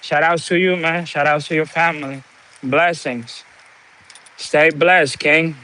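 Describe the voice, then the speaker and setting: Slow, preachy man. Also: on the high side, steady tone, bright, forceful tone, rough recording. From Dominican Republic. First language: Spanish. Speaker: livestream host. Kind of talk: motivational live-stream talk.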